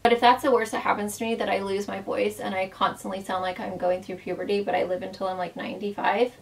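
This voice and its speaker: A woman's voice: mid-range voice, level tone, quick pace, creaky, far from the mic, low-quality recording. A woman chatting through a makeup tutorial as a former makeup artist, talking in a casual way full of fillers, losing her voice so that it often cracks.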